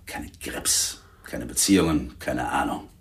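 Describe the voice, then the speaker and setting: A gangster villain in a crime radio play. His voice is extremely low, and he taunts with sneering, boastful swagger. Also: unhurried. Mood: mocking, contemptuous. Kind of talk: radio crime drama dialogue.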